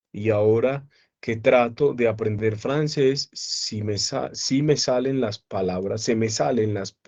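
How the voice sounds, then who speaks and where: A man teaching a psychology class online, explaining at an unhurried pace and often checking that his students follow. His voice sits in a middle pitch. The sound is dull and lo-fi, as if picked up by a computer microphone on a video call. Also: creaky, darkish tone. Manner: explains slowly with repeated questions to students.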